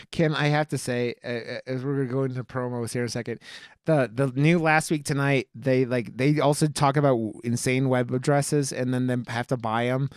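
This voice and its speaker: A man's voice: medium pitch, steady tone, moderate pace, clean tone, dry recording, studio quality. A man hosting a casual podcast, chatting about films in a gushing, enthusiastic way with plenty of swearing. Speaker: podcast host. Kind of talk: casual, profane film review chat.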